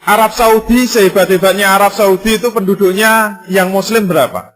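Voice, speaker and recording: Preacher with a higher male voice. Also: normal speed, soft background music. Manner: rhetorical questions and emphatic repetition; lively, conversational and jokey in places. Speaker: Islamic preacher. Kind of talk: sermon.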